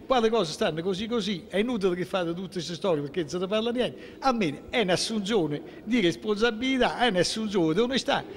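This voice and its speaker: Older guy in his 60s, southern lazio italian accent, high voice, worked up and halting, hallway interview. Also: animated, long reverb tail. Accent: central-southern Italian, southern Lazio. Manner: emphatic, aggrieved, halting with repeated rhetorical questions. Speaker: interviewee, local political figure. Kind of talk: impromptu spoken interview. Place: indoor hallway of a public building. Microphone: handheld interview microphone held toward him.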